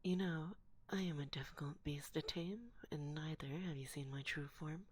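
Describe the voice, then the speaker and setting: Low, breathy forest witch, acted by a woman. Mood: haughty, teasing. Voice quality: soft, breathy, often slipping into whisper. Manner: slow, deliberate, teasing and condescending. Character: assertive forest witch, teasing, stern yet fond mentor. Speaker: ASMR roleplay performer. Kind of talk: binaural ASMR roleplay, soft and whispery. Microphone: close binaural microphone.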